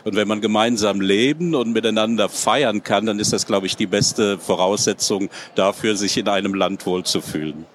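Measured middle-aged German man, lowish. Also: slight background noise. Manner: measured, thoughtful, with frequent hesitations. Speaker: guest. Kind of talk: panel talk at a trade fair.

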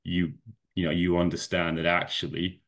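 A man's voice: deep voice, flat delivery, normal speed, a bit bright. A man from Scotland, a guest on a podcast, talking casually about competing and leaning heavily on "you know" and restarts. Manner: rambling, many fillers like "you know". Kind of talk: casual conversational interview.

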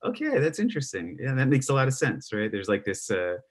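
A man hosting an interview in a conversational way, rambling with many fillers and restarts and trailing off quietly. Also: medium pitch, huge pitch swings, brisk, husky. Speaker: podcast host.

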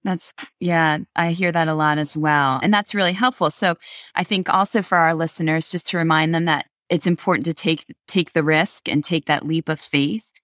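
Casting: woman podcast host, lowish voice, encouraging interviewer, dry close mic. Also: fairly even intonation, moderate pace, a bit bright, no echo.

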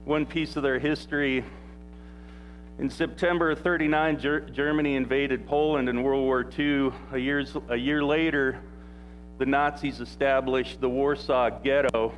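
A man's voice: medium pitch, fairly even intonation, measured pace, a little hiss or hum, rough recording. A man preaching an informal sermon in a church, talking in a loose, rambling way with plenty of fillers and restarts. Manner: says he has a loud voice. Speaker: pastor.

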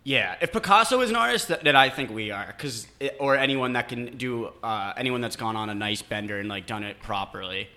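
A man's voice: medium pitch, expressive, fairly quick, few pauses, sharp tone. A man in his twenties co-hosting a podcast, rambling and joking in casual chat. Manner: rambling, joking, many restarts. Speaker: podcast co-host.

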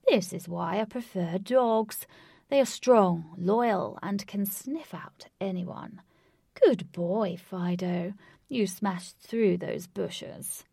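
A woman voicing a theatrical, delusional male stalker who speaks in a grand, drawn-out way. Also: medium pitch, creaky, mellow, slightly rough, dry recording, studio quality, full character voice. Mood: grandiose.

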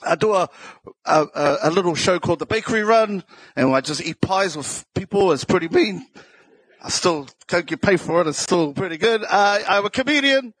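A man's voice: sits high, animated, moderate pace, a bit bright, slightly rough, dry recording, low-quality recording. A man with a South Auckland New Zealand accent telling stories casually on stage as a comedian, joking and self-deprecating in slangy speech. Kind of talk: casual comedic live storytelling.

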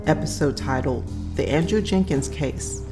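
Casting: female podcast host, deep register, scripted intro over music. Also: normal speed.

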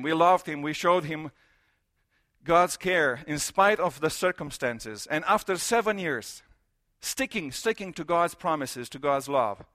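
A middle-aged man preaches a sermon in English, his voice pitched high for a man. His tone is bright and forceful. He drives home each point by stacking repeated phrases and rhetorical questions. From Romania.

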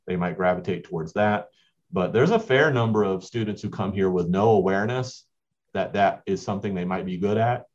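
A man's voice: low-pitched, a little mellow. A man, a school basketball coach, answering interview questions in a rambling way with many restarts. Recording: dull lo-fi audio.

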